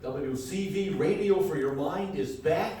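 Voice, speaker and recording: A man's voice: on the high side, measured pace, mellow. A man performing scripted comedy banter to a live audience in the manner of a mock-grand showman announcer. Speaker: comedy radio show performer and co-host. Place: live stage in a hall. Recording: very echoey hall, distant mic, faint noise.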